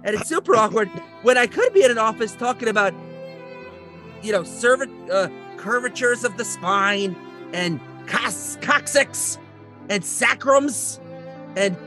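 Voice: medium pitch, animated, a little slow, sharp tone, soft background music. A performer playing an aggrieved male landlord in a comedic audio play, rambling awkwardly in a whiny, exasperated way.

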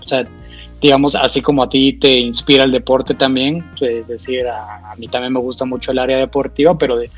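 A man with a Guatemalan accent hosting a conversational interview, feeling his way haltingly through questions and repeating words. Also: mid-range voice, steady tone, slightly rough, soft background music. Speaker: host, nutritionist.

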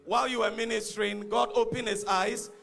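A man's voice: sits high, steady tone, fairly quick, creaky, fairly bright tone. A man, a pastor, earnestly giving a testimony in church. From Ghana. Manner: earnest, recounting a story.

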